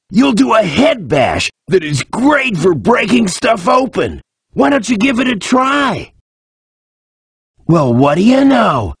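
An actor playing a friendly cheetah sidekick in a video game, casual and encouraging, with a husky rasp. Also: male voice, high voice, no room sound, full character voice. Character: laid-back, friendly male cheetah sidekick. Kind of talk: video game tutorial acting.

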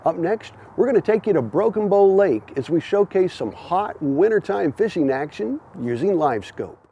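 A man's voice: sits high, lively intonation, moderate pace, dull audio, slight background noise. A man in his forties, a show host presenting a segment to camera outdoors. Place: outdoors in front of a wooden shed.

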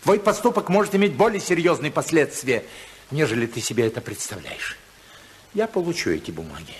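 An actor playing an imperious, stern older tycoon father in a 1950s radio play, commanding and theatrical, with deliberate pauses. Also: a little high, very animated, a little hiss or hum. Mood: menacing. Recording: 1950s radio drama recording.

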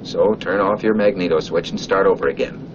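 A male actor as a stern flight instructor with a fairly low voice, needling and directing a trainee in a 1940s military training film. The old soundtrack is muffled, with clear noise behind the voice. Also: brisk, close to an everyday voice. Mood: chiding. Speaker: off-screen narrator instructing a trainee.

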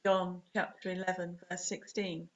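A woman in her forties reading a scripted sermon as a priest, in measured, deliberate short phrases, recorded on a webcam at home. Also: fairly low pitch, little pitch movement. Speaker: preacher, Anglican priest. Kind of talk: scripted sermon read to camera. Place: small home room, indoors. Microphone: webcam or laptop at desk distance. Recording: dull, muffled webcam audio.

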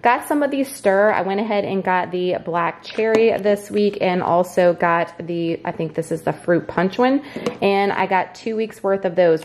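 Female vlogger, slightly low pitch, chatty and informal with emphatic delivery. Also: darkish tone, slight background noise. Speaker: vlogger showing a grocery haul. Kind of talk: casual vlog chat. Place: home kitchen, indoors.

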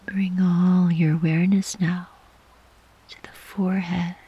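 A woman leads a guided meditation for sleep in a very breathy near-whisper. Her delivery is slow, gentle and soothing, with long pauses between phrases. The sound is a little dull and muffled. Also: on the low side, flat delivery. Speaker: yoga nidra meditation guide.

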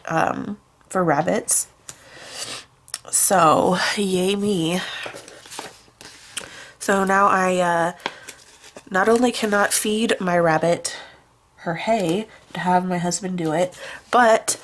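Young woman, nasal from a stuffed-up nose, rambling planner chat at a desk, slightly low voice, faint noise behind. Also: fairly bright tone. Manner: rambling, chatty, with many fillers. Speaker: planner vlogger. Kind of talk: casual chat while decorating a planner. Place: at a desk indoors, hands on a planner.

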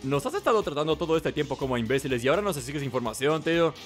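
A man's voice: a little high, expressive, rapid-fire, faint music behind, lightly acted. A man voicing a laid-back, goofy, slangy young man from a video game. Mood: indignant.

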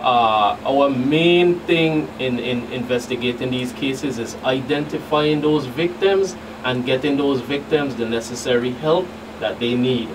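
A middle-aged man, a law enforcement agent, answering as a guest expert on a radio talk show, haltingly and often repeating words. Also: mid-range voice. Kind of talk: explanatory radio interview answers. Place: small radio studio. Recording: noisy, distant mic with room hum.

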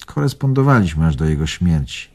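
Husky, deep old bon vivant. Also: male voice, sing-song, fairly quick, darkish tone, full character voice. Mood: wistful reminiscing. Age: older. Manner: theatrical, musing, swinging between murmur and outburst. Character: bitter old bon vivant, wounded, evasive former impresario. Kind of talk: radio drama acting.

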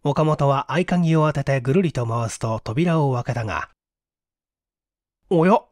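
A man's voice: medium pitch, normal speed, darkish tone, no room sound, clean studio sound. A man narrating a detective story as a dramatic literary reading, acting out the dialogue. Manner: theatrical, acts out the dialogue. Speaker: audiobook narrator.

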